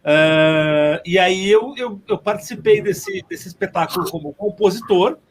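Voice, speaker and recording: A man's voice: high voice, measured pace, slight background noise. A man chatting casually as an interview guest, joking and ironic, rambling from one phrase to the next. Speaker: podcast guest, theatre sound designer and composer.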